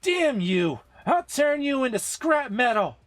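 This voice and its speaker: A man voicing a brash, hot-tempered young woman fighter from a game story, with shouted, taunting, overdramatic delivery. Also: very high voice, very animated, unhurried, no room sound, faint music behind, voice pushed up, heavily acted. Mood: furious.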